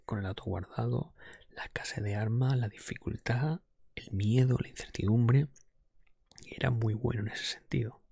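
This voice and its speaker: A man with a Spain Spanish accent whispers close to the microphone in a breathy, informal, rambling ASMR style, giving his opinions as a podcast host. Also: lowish voice, no room sound. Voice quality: breathy, mostly whispered, soft-spoken. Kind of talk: ASMR, whispered casual video game review.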